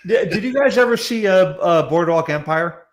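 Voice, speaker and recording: A man's voice: sits high, animated, normal speed. A man chatting casually and comedically as a talk show guest, often stammering and repeating words as he goes. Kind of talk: casual comedic panel chat.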